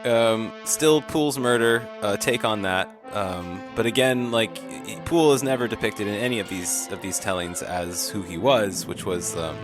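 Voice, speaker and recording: A man's voice: fairly low pitch, moderate pace, few pauses, over music. A man hosting a podcast, chatting casually and sardonically with mock quotes. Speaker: podcast host. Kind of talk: casual joking chat.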